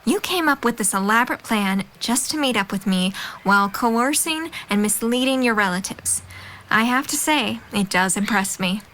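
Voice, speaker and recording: Bright, playful woman as a teenage girl. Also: a little high, animated, moderate pace. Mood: curious, impressed. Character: curious, teasing teenage girl, friendly confidante. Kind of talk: audio drama dialogue.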